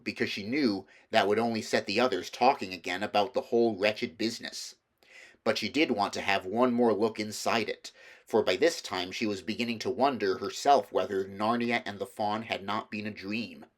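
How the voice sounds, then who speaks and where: Low-pitched man narrating a storybook. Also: a bit bright. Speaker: audiobook reader.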